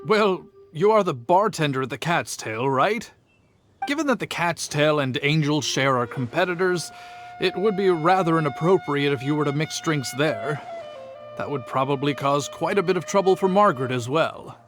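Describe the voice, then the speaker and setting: A fairly high-pitched gentleman, with music playing behind him. He is a polite, modest winemaker from a video game. He speaks in a courteous, earnest and slightly hesitant way. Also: lively intonation, moderate pace. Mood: tactful, cautious. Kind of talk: scripted video game character acting. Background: background music.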